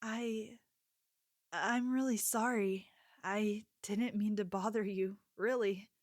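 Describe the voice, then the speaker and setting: A woman playing a sweet, attentive young convenience store clerk in an ASMR roleplay, speaking softly with a gentle, hesitant manner. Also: mid-range voice, fairly even intonation, slow, no room sound, studio quality, close to an everyday voice. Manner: gentle, apologetic, hesitant, solicitous.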